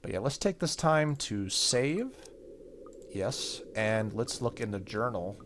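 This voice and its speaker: A man with a fairly low voice hosts a let's play of a story game. He reads narration aloud and drops in casual, joking commentary as he goes. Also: lively intonation, takes it slowly, fairly bright tone, slight background noise. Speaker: gaming video host.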